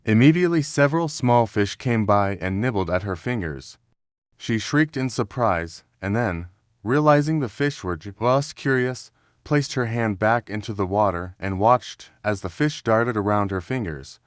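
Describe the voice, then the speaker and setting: Low-voiced male storyteller. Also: a little slow, dry recording, clean studio sound. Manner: steady, clear narration. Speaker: read-along narrator. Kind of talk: storybook read-aloud.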